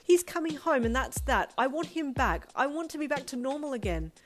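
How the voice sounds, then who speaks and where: A woman's voice: mid-range voice, measured pace, a little mellow, soft background music, lightly acted. A woman voicing a sweet, fragile middle-aged mother in a dramatized audio story, with tender, pleading, sing-song speech. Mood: pleading, tearful.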